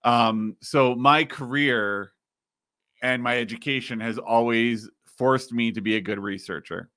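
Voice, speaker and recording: Man, 30s, slightly raspy, podcast host, casual explaining, dry studio sound. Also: mid-range voice, unhurried, sharp tone, dry recording. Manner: explains while screen-sharing, many fillers. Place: home studio with neon sign.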